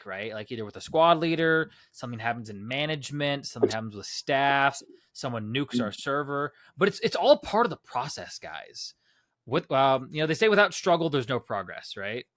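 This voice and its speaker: A man's voice: medium pitch, a bit bright, dry recording, clean studio sound. A man hosting a casual group podcast, rambling with many fillers and restarts. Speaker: podcast host. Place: Los Angeles.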